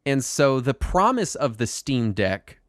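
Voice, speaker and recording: A man's voice: medium pitch, very animated, a little slow, no room sound, studio quality. A man hosting a casual podcast, a bit congested from a cold, talking in a rambling way with lots of ums and restarts. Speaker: podcast host.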